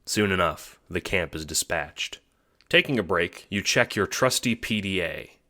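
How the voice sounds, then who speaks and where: A man narrating an audio drama in an expressive, scripted storytelling style, addressing the listener as the hero. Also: low voice, a little slow, very noisy. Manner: animated, expressive. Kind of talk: scripted second-person storytelling.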